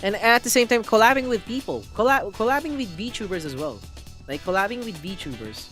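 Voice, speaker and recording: A man live streaming, chatting casually to his viewers in an excitable, rambling way with lots of restarts. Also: high voice, brisk, soft background music. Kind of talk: casual chat to a live audience.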